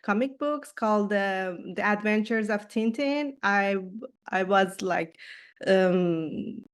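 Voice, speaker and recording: A woman from Iran, a children's book illustrator, answering interview questions with many pauses and fillers. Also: medium pitch, clear, resonant tone. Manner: hesitant, many ums and uhs. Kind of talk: casual interview.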